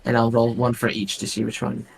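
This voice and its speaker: Raspy male player, mid-pitched, halting. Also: completely flat delivery, slight background noise, rough recording. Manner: hesitant, many likes and ums. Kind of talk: casual tabletop game chat.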